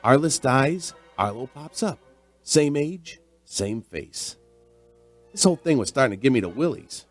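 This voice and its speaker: A man's voice: mid-range voice, lively intonation, a little slow. A man playing a chatty veteran barman in a radio drama, telling an uneasy mystery in a folksy, rambling way. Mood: uneasy, curious. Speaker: narrator and lead character. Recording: music behind the voice.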